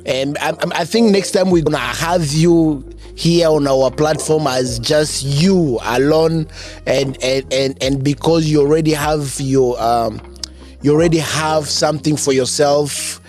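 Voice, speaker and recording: A man hosting a conversational interview, halting and repeating words as he phrases his questions. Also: a little high, a little mellow, soft background music. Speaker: podcast host, interviewer.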